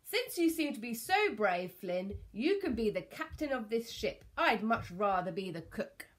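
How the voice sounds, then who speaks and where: A woman's voice: fairly high pitch, sing-song, unhurried. A woman voicing a theatrical, blustering male pirate captain in a children's storybook. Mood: hearty. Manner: sobbing, blustering, theatrical exclamations.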